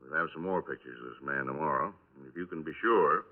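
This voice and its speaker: An actor playing a folksy, patient but probing police lieutenant in a 1950s radio crime drama. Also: male voice, rumbling low, fast talker. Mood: coaxing. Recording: restored 1950s radio, narrow band.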